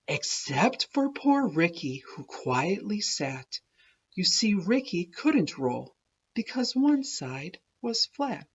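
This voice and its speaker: A woman reading a rhyming children's story aloud in an expressive, deliberate, sing-song way. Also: lowish voice, slightly rough, muffled recording. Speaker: children's book reader. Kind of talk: animated storybook read-aloud of a rhyming tale.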